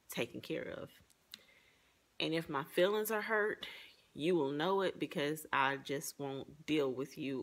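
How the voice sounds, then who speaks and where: A woman's voice: extremely low pitch, vocal fry, fairly bright tone. A woman giving an earnest, explanatory personal presentation, partly reading from notes.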